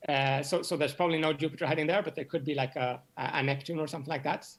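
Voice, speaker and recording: A man speaking as an expert interview guest, explaining in a halting way and often repeating words as he searches for phrasing. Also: a little high, fairly even intonation. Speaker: interview guest, astronomy professor.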